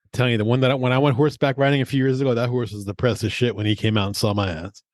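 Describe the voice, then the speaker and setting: A man's voice: medium pitch, flat delivery, racing, dry recording. Casual middle-aged man, dry close sound. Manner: profane, informal. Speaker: podcast co-host. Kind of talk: casual chat and personal storytelling.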